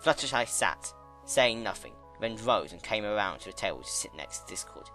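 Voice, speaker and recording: A man reading a story as a dramatic narrator, solemn and measured in his storytelling. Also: fairly low pitch, expressive, sharp tone, soft background music. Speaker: narrator, fan-fiction reader.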